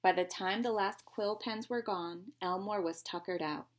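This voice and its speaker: A woman's voice: medium pitch, moderate pace, a little hiss or hum. A woman reading a picture book aloud to young children like a teacher, expressively, with simple explanations and questions along the way.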